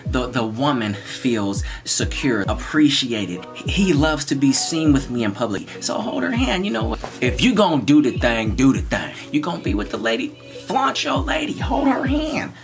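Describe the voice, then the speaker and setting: Animated man vlogging with music under. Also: medium pitch, moderate pace, creaky, hardly any pauses. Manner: animated, playful, jokes and repeats lines. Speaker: vlogger giving marriage tips. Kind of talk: casual to-camera chat. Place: small bright room indoors. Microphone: camera in front of him at close range.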